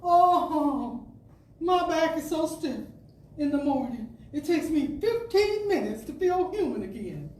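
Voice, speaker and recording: A woman with a fairly high, mellow voice reads an inspirational talk aloud. She goes very slowly, with deliberate phrasing and expressive pauses, in the manner of a storyteller. The sound is roomy and a little distant from the microphone. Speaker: storyteller reading a spiritual radio talk. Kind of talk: dramatic inspirational reading to an audience. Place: indoor spiritual center hall. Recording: roomy, distant mic with faint noise.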